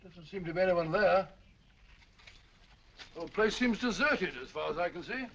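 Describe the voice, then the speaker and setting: An actor playing a bluff, loyal older doctor with a gravelly voice, in 1940s film style. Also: male voice, high-pitched, animated, moderate pace, a bit bright. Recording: dull lo-fi 1940s film sound with faint hiss.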